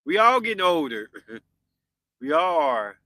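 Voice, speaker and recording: A man's voice: high voice, wildly swooping pitch, unhurried, gravelly, sharp tone. A man in his forties hosting a podcast, chatting casually with live viewers and answering their comments. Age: 40s. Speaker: podcast host.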